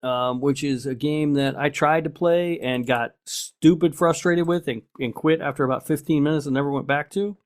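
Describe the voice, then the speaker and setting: A man's voice: fairly high pitch, moderate pace, few pauses. A man co-hosting a podcast, talking casually in a blunt, laid-back, foul-mouthed way. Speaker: podcast host. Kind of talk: casual chat.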